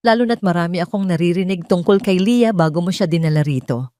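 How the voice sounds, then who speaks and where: A woman voicing a meek but calculating betrayed wife with a hesitant, hushed manner in an audio drama. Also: on the low side, fast talker, dry recording, studio quality, close to an everyday voice. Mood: hesitant, insinuating.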